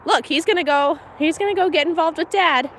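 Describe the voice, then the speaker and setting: A woman's voice: very high voice, brisk. A woman with a slight Pennsylvania accent, chatting casually and laughing as she livestreams chores with her cows outdoors. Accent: Pennsylvania, mixed American. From Pennsylvania, USA. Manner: chatty, laughs often, candid. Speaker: homestead livestreamer. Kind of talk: casual live commentary. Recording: faint outdoor noise.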